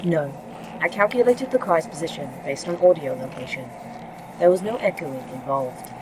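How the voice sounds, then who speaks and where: A woman's voice: sits low, expressive, moderate pace, noisy background. A woman playing a terse, literal, pedantic female A.I. in a sci-fi audio drama. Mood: dry, pedantic. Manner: pedantic, formal, inflexible. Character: terse, literal, unflappable female A.I. of a secret facility.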